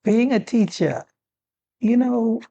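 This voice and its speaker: A woman's voice: fairly high pitch, takes it slowly, creaky, lo-fi sound. A woman in her sixties with a Turks and Caicos accent, a talk-show guest telling stories from her life and acting out the dialogue. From Turks and Caicos Islands. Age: 60s. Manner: animated storyteller who acts out quoted dialogue. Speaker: guest, former teacher and district commissioner. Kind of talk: relaxed storytelling in a talk-show conversation.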